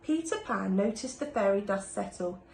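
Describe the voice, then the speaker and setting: Female storybook reader, teacherly and expressive, with lively pitch; roomy recording with faint noise. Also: moderate pace, vocal fry. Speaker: primary school teacher reading aloud. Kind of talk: expressive children's storybook narration. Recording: echoey room, faint background noise.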